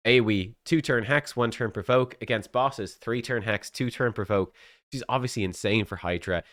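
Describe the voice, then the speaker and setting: A man's voice: mid-range voice, moderate pace, a bit bright, no room sound, clean studio sound. A man with a cold, sniffly and coughing, chatting casually as a game content creator, with many fillers and restarts.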